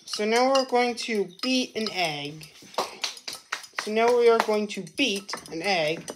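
A woman's voice: medium pitch, expressive, noisy background. A woman hosting a casual cooking demo in a home kitchen, repeating instructions and restarting her sentences. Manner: halting, restarts phrases, repeats what she is told. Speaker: cooking show host.

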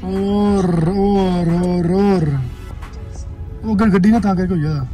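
A young man making a casual travel vlog from inside a moving car, chatting excitedly to his viewers. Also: high-pitched, takes it slowly. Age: young adult. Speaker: travel vlogger. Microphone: phone camera held in car. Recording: music mixed behind the voice. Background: background music.

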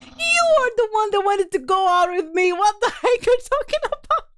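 Lively high-voiced woman streaming a game. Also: normal speed, no echo, clean studio sound. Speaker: livestreamer. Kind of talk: casual gameplay stream chat.

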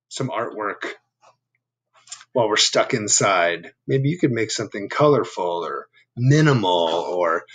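A man in his fifties reading a picture book aloud to children, with simple, instructive, conversational asides. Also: mid-range voice. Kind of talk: read-aloud with explanatory asides. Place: small room at home.

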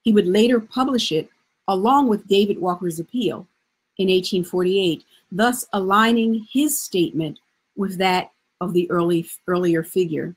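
A middle-aged woman presenting scripted historical narration in a measured, formal way. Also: mid-range voice, slightly rough. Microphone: video call.

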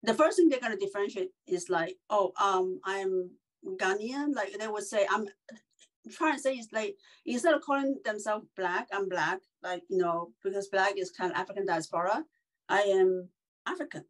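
A middle-aged woman from China, a podcast guest, talking in an animated way with many fillers and restarts over a video call. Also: medium pitch, normal speed, poor audio. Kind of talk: casual interview chat.

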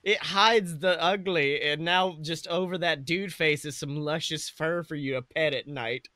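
High-pitched man, bright slangy podcast banter. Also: a little slow, no room sound. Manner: slangy, sweary, animated joking. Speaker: podcast co-host. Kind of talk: casual comedic chat.

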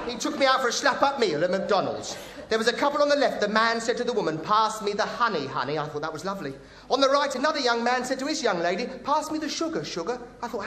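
A man's voice: sits high, fairly bright tone, very echoey. A man with an English accent playing a cheeky, poor widowed pantomime dame, delivering theatrical comic patter to an audience. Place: theatre stage, recorded from the audience. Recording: distant noisy stage recording with audience.

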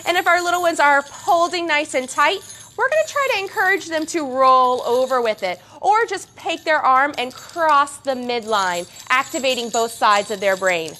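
A young woman teaching a parent and baby gym class in a coaxing, sing-song way, her voice swooping up high on playful words. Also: pushed and bright, slight background noise. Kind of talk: instructional class for parents and babies.

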